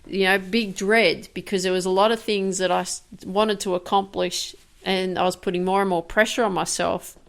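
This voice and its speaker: A woman in her fifties hosting a podcast, speaking candidly and haltingly in a reflective personal monologue, with many restarts and fillers. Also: mid-range voice, fairly even intonation, vocal fry. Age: 50s. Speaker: podcast host.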